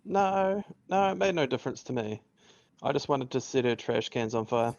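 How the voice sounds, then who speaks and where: Man reading grumpy young bartender, dry, sarcastic, gravelly fry, dull lo-fi sound. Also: medium pitch, lively intonation, moderate pace, darkish tone, no echo, natural voice. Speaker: podcast host reading a part. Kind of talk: table read of a sitcom script. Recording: dull lo-fi recording.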